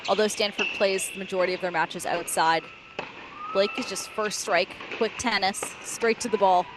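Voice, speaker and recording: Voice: mid-range voice, normal speed, background noise. Color commentator analyzing a tennis match. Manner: agreeable, analytical.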